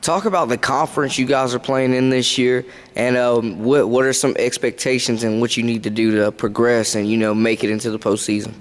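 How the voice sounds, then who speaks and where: A man interviewing high school athletes, asking long, loosely built questions. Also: steady tone, fairly quick, hardly any pauses.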